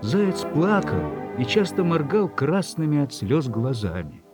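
A man narrating a literary story from a script in a measured, expressive way. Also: fairly high pitch, over music.

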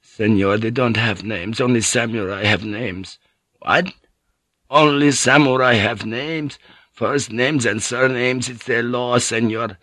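Man putting on an old friar, agitated, breathless, bright edgy voice, dry. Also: mid-range voice, fairly even intonation, measured pace, dry recording. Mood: explaining. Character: ranting feverish old Spanish friar, bitter.

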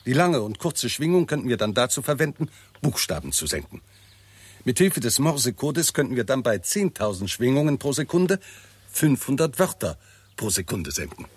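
An actor playing a confident, shrewd professor of astronomy, explaining precisely in a 1960s German radio drama style. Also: male voice, medium pitch, very animated, normal speed, husky, no room sound. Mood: lecturing. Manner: didactic, precise, self-assured.

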